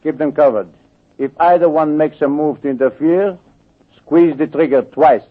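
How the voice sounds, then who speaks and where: A man's voice: on the high side, moderate pace, lightly acted. A man playing a silky villain. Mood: menacing. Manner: silky, theatrical, coldly menacing. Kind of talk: 1940s radio acting. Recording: tinny old radio broadcast.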